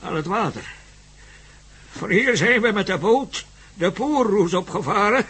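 Very raspy, high-voiced old jungle villager. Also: male voice, lively intonation, a bit bright, exaggerated voice. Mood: halting, remembering. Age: older. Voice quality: raspy, husky. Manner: halting, hesitant, chuckling. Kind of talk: radio play acting.

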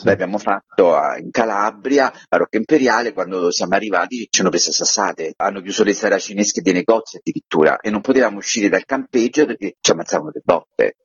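Low, husky older Roman man. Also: fast talker, few pauses, no room sound. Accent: Roman Italian. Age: 60s. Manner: colloquial, reminiscing. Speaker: interview guest, actor and director. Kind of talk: casual anecdotal storytelling in a radio interview. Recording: dull, lo-fi radio interview audio.

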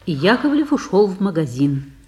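A drama narrator with a medium-pitched voice reads stage directions. The delivery is scripted, with varied intonation. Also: very animated, moderate pace, slight background noise.

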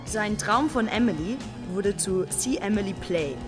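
A man's voice: high-pitched, lively intonation, measured pace. A man giving factual, scripted narration in a German radio play, announcing over music. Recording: loud music behind the voice.

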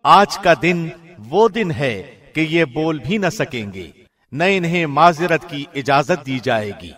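A man reads translated scripture from a script. His delivery is formal, measured and solemn, in the manner of a radio presenter. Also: fairly high pitch, lively intonation, a bit bright. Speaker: radio host reading Quran translation.

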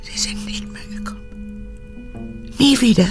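An actress in a radio drama playing a manipulative, childish old woman in her eighties, an unrepentant former camp guard, with a husky, gravelly voice. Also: slow, faint music behind, lightly acted. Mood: confused, needy. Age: 80s. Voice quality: husky, gravelly, often breaking into whispers. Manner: capricious, swinging between childlike pleading and cold recounting.

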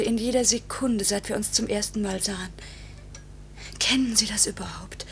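An actress playing a pilot's anguished young wife in a 1950s German radio drama, pleading and accusing in a halting, emotional, breathy delivery. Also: female voice, on the high side, close to an everyday voice. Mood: wistful, tender. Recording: hissy 1950s radio recording, faint hum.

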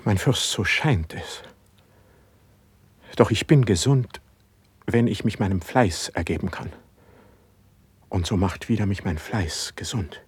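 An actor playing a sensitive, driven, melancholy young poet in a classical verse radio drama, with fervent, declaimed diction and a husky voice. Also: male voice, fairly low pitch, slow pace, pauses often, no echo, full character voice. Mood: earnest, restless.

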